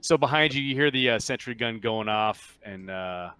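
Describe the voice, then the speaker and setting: Male game master with an average-pitched voice, improvising scenes and rulings in a halting, conversational way. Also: pushed and bright, smooth. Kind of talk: casual narration of a tabletop game.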